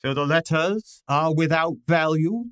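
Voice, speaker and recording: A man's voice: a little high, a bit bright, smooth, dry recording, studio quality. Man acting an imperious, formal radio detective. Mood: pondering, authoritative. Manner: formal, deliberate, interrogating. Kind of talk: old-time radio drama acting.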